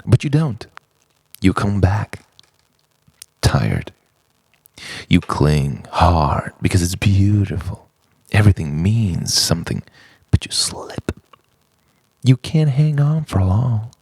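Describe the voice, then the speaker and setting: A man performing a theatrical spoken word monologue in short, deliberate phrases, dramatic and shifting between hushed and loud delivery. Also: deep voice, very animated, gravelly, husky, no room sound. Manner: dramatic, swinging between hushed whispers and shouted outbursts.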